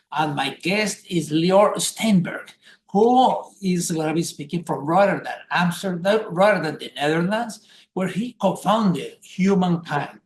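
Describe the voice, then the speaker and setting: An older man from Colombia, hosting a webinar over a video call and introducing his guest deliberately and emphatically. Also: high-pitched. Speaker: webinar host. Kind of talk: scripted introduction. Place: indoors at a home desk, blurred background. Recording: roomy echo.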